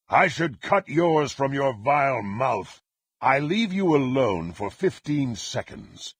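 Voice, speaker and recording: An actor playing a power-hungry, contemptuous dark warrior in a fantasy video game, with a gravelly, husky voice and haughty, theatrical, archaic phrasing. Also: male voice, mid-range voice, dry recording, clean studio sound, heavily acted. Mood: furious, threatening.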